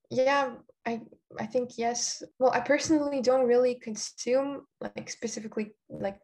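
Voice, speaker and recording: Young woman from Kazakhstan, flat delivery. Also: on the high side. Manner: chatty, many "like" fillers, searches for words. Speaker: interview guest. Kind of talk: casual conversational interview.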